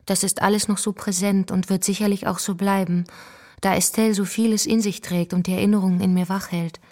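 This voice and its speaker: A woman narrating a radio feature, calmly reading terse notes and letters aloud. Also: mid-range voice, a little fast. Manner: terse, reads fragmentary keywords.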